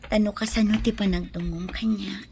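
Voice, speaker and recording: A woman playing a gentle, fearful pregnant young wife in a melodramatic radio drama, with plaintive, drawn-out delivery. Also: medium pitch, fairly even intonation, a little hiss or hum, lightly acted. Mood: tender, wistful.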